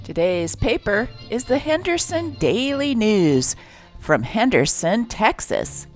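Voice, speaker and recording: A woman's voice: fairly high pitch, sing-song, takes it slowly, faint music behind. A woman reading a script aloud as host and narrator, with a theatrical delivery.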